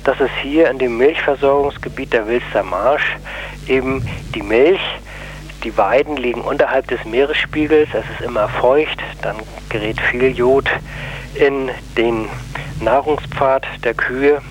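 A man giving a radio interview, explaining his case in a deliberate, hesitant manner. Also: medium pitch, slight background noise, rough recording. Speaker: interview guest, anti-nuclear plaintiff.

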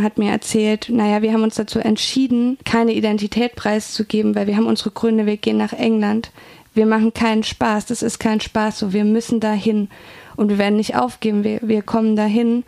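A woman answers as an interview guest in an informal way, correcting herself as she thinks aloud. Her voice is mellow and fairly flat, with some creak, in a dry, close recording. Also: a little high, dry recording. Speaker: interview guest reporting on refugee camps.

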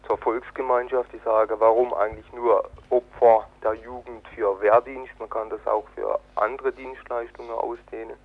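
A man speaking haltingly over the telephone as an activist being interviewed. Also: medium pitch, level tone. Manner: halting, broken phrasing. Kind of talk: spontaneous phone interview. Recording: tinny band-limited phone line.

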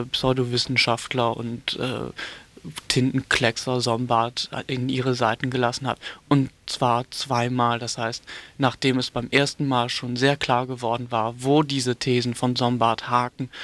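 A man giving critical radio commentary in a halting, sardonic and polemical manner, with frequent hesitations. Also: mid-range voice, fairly bright tone, no echo.

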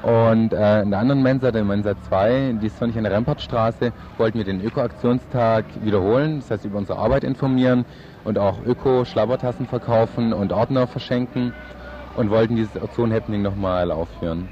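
A man's voice: fairly low pitch, a little fast, dark tone, a little hiss or hum. Young man interviewed on a dull field recording. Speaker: student activist being interviewed. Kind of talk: spontaneous interview answers.

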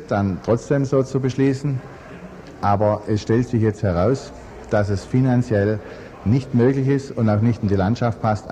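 A man in the role of a mayor giving a formal, measured political statement. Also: medium pitch, mellow.

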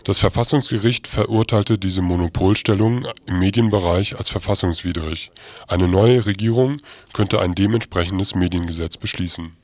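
Low-voiced man reading radio news. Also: normal speed, darkish tone.

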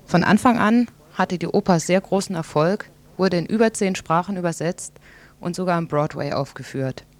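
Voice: medium pitch, a little mellow. A radio presenter reading a scripted introduction and commentary for a morning programme.

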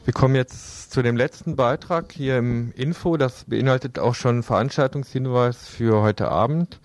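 A man's voice: mid-range voice, normal speed. A man working as a radio host, reading scripted pieces and putting questions in an interview.

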